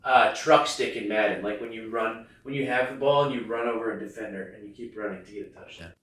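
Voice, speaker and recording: A man's voice: lowish voice, quick pace, a bit bright, reverberant, poor audio. A man asking casual questions as an interviewer.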